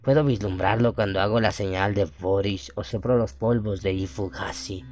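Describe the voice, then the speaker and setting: Man voicing a deep-voiced, sinister uncanny boy sorcerer. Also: moderate pace, a little mellow, natural voice. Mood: sinister, brooding. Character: uncanny half-human boy sorcerer reading his sinister diary. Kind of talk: radio horror drama monologue.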